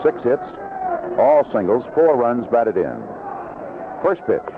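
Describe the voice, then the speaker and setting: A man's voice: mid-range voice, sing-song, takes it slowly. Tinny old-radio baseball play-by-play man. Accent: North American. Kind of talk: live radio play-by-play commentary. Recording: tinny, noisy vintage AM radio broadcast.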